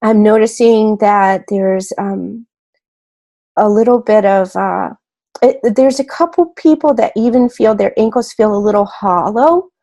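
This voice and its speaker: Slow, mellow woman leading meditation. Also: medium pitch. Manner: gentle, unhurried, with long pauses between short phrases. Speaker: guided meditation leader. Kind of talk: guided meditation, slow and soothing.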